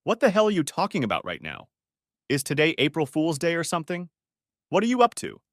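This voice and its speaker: Narrator as a joking young husband. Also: male voice, medium pitch, big pitch swings, moderate pace, fairly bright tone, no room sound, studio quality. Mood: protesting. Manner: joking, protesting.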